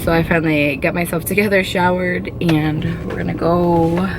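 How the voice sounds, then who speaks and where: A woman vlogging in casual, rambling chat, hoarse from a cold, full of "like" and "um". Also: on the low side, fairly even intonation, normal speed. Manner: chatty, filler-heavy, with frequent "like" and "um". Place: inside a moving truck. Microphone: handheld camera. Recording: road and vehicle noise.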